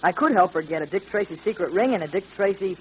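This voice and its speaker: A man's voice: sits high, lively intonation, fast, poor audio. A male performer playing an eager, earnest boy in a sponsor spot on an old radio serial. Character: eager, earnest boy, detective's young ward. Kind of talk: 1930s radio ad acting. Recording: hissy old radio recording.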